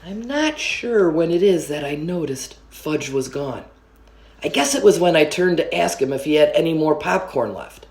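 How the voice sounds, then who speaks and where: A woman reading a children's book aloud with an expressive storytelling manner. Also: low voice, normal speed, reverberant. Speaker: story reader.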